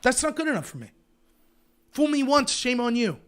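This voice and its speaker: High-voiced sarcastic man ranting. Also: big pitch swings, normal speed, a bit bright, clean tone. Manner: emphatic, sarcastic, rhetorical questions. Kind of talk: political livestream rant.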